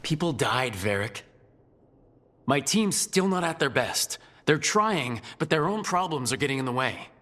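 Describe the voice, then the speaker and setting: A man's voice: medium pitch, expressive, a little slow, fairly bright tone. A man playing a determined, decisive team leader in a fantasy video game. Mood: troubled.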